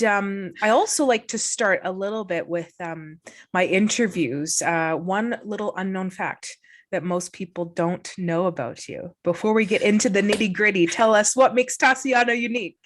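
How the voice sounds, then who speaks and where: A woman hosting a casual interview, upbeat and encouraging toward her guest. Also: on the low side. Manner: upbeat, encouraging, with frequent "hmm" and "brilliant". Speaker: podcast host.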